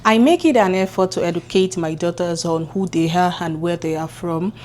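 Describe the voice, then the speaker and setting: A woman's voice: lowish voice, normal speed, darkish tone, a little hiss or hum. A woman, talking through a casual vlog in her home kitchen, chatty and encouraging. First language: Yoruba. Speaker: vlogger. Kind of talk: casual vlog talk to camera.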